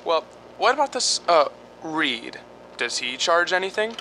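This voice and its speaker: Radio drama property owner played by a man: curt, stingy, skeptical, animated pitch, noisy background. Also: a little slow, heavily acted. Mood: grumpy. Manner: curt, grudging, querulous. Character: grumpy, skeptical, penny-pinching male property owner.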